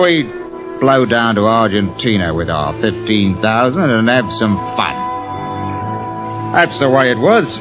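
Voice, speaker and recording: An actor playing a ruthless, terse ship's officer turned murderer in a 1950s radio drama. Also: male voice, mid-range voice, natural voice. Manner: terse, commanding, cold. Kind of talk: 1950s radio drama acting, first-person narration. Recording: muffled vintage radio broadcast, loud music.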